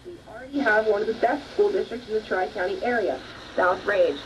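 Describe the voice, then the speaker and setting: A woman's voice: medium pitch, brisk. An American woman reading a newspaper aloud in a plain, informal way, heard through a radio speaker. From northeast Ohio. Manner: plain, occasional stumbles over text. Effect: played through a radio speaker. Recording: dull, distant radio speaker, faint noise.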